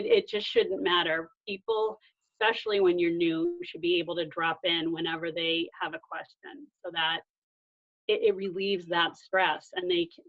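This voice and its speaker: A woman talking conversationally as a guest in a discussion about her own experience. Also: medium pitch. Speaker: guest sharing workplace experience. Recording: dull lo-fi sound.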